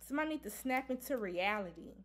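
A woman's voice: fairly high pitch, lively intonation, quick pace, noisy background. A woman giving a casual tarot reading to camera, blunt and emphatic, repeating her points and swearing freely. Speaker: tarot reader. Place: indoor room at a table.

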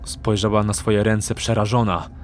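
A man's voice: on the low side, level tone, normal speed, slight background noise. A man narrating a fantasy audiobook in a dramatic, scripted storytelling style.

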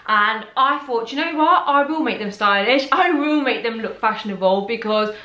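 A woman's voice: a little high, animated, moderate pace, sharp tone, lo-fi sound. Chatty woman in an echoey bedroom. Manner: chatty, addresses viewers as guys. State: slightly losing her voice. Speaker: fashion vlogger. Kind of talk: chatty clothing haul. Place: bedroom, seated on a bed.

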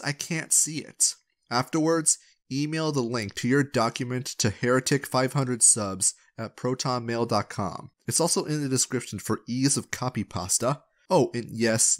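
A man with a mid-range voice speaks as a channel host. He delivers scripted voice-over straight to his viewers, with a playful manner and a close-mic sound. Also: mid-range voice. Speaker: video creator and channel host.

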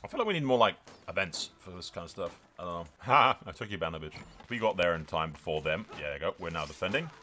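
A man's voice: low-pitched, animated, quick pace, bright, forceful tone, slight background noise. A man giving casual, sweary let's play commentary, telling the story in mock-grand announcer phrases and repeating himself for emphasis. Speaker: gaming video creator.

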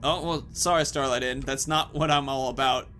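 A man's voice: mid-range voice, fairly even intonation, moderate pace, a bit bright, faint music behind. A man in his thirties giving casual let's-play commentary, rambling informally as he thinks aloud into a headset. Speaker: gaming video host.

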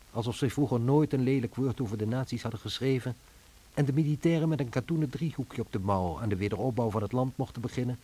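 A man's voice: mid-range voice, darkish tone. A man narrating a radio drama in a scripted, dry and ironic way.

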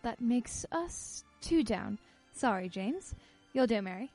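A woman's voice: on the high side, animated, slow, darkish tone, faint music behind, full character voice. A woman acting an anxious, polite society wife in a radio play. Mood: polite, weary.

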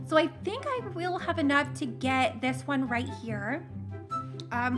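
A young woman chatters bubbly and gushing over a game, her high voice a little worn because she is losing it. Gasps and giggles slip between her lines. Upbeat background music plays clearly beneath her. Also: pushed and bright. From Canada. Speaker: gaming channel host. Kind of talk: casual game commentary.

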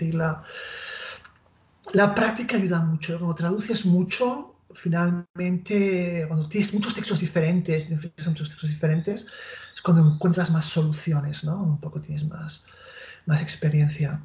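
A man's voice: high voice, darkish tone. Thoughtful Spanish man on a video call. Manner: thoughtful, with many restarts and tag questions. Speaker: literary translator. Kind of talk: interview over video call. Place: small room at home with bookshelf. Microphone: earphone mic on video call. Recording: roomy, dull lo-fi call audio.

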